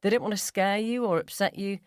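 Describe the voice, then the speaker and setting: A woman reading a first-person ghost story as scripted dramatic narration, measured and suspenseful. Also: medium pitch, smooth, no echo, clean studio sound. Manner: suspenseful, measured, acts out dialogue.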